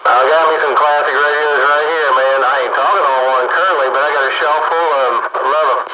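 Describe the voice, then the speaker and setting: A man's voice: a little high, fairly even intonation, fast talker, reverberant. A man chatting casually over two-way radio in a slangy, laid-back way. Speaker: radio operator. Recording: very noisy two-way radio transmission.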